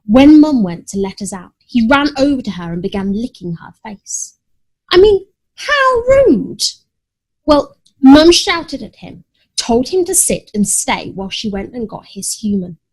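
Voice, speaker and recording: Prim, dramatic pet cat narrating a children's tale, played by a woman; haughty, very animated, breathy edge. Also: fairly high pitch, a little slow, full character voice, poor audio. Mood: haughty indignation. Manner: expressive, haughty, indignant. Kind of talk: first-person children's story narration.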